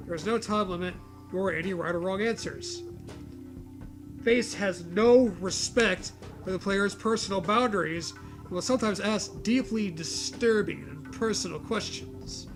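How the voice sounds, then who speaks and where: A man's voice: sits high, moderate pace, music in the background. A man co-hosting a comedy read-aloud, moving between narrating a story and casual, amused banter. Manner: amused, breaks into laughter. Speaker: co-host reading a fan story aloud.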